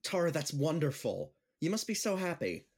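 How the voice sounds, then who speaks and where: A man's voice: on the high side, very animated, moderate pace. A man playing an upbeat, chatty friend and amateur comic in an audio drama, with a playful, teasing manner. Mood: excited.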